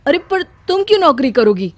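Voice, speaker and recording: A woman's voice: high-pitched, sing-song, a little fast, voice pushed up. A woman voicing a stressed, irritated young husband in a cartoon story, speaking curtly. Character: adult man, stressed tired young husband.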